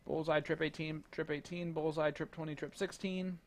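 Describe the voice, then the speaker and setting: A man doing relaxed play-by-play commentary on a darts match, chuckling between calls. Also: a little high, moderate pace. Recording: noticeable background noise.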